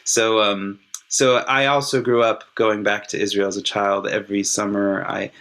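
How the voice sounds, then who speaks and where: Reflective man with a low voice. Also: flat delivery, fairly bright tone. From California. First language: Hebrew. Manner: reflective, measured storytelling. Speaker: guest. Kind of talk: casual interview.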